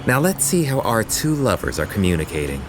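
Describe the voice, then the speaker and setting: A man's voice: on the low side, wildly swooping pitch, a little fast, noisy background. A man voicing a wry drama narrator. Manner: wry, teasing.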